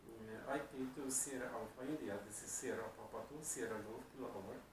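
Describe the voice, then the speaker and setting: A man in his fifties with a Polish accent, speaking halting, repetitive English as an amateur radio operator making a contact. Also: medium pitch. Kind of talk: ham radio contact, spelling call signs phonetically. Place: living room, indoors. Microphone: camera placed across the room. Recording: echoey room, distant mic, clear background noise.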